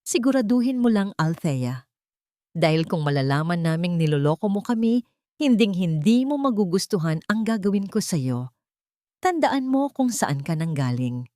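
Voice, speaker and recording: A greedy older Filipino mother-in-law in an audio story, acted by a woman. She is demanding and menacing, in a slightly low voice. The sound is very dry. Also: big pitch swings, normal speed, dark tone, dry recording. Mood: threatening.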